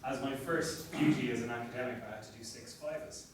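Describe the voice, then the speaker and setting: A young Irish man giving an academic talk as a lecturer, relaxed and self-deprecating, with frequent fillers. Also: mid-range voice, brisk. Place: seminar room. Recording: echoey room from far off, noisy.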